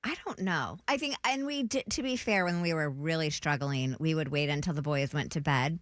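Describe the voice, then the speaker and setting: Deep-voiced lady radio cohost, blunt and matter of fact, dry studio sound. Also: normal speed, no room sound. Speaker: radio co-host. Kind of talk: morning radio banter. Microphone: studio mic.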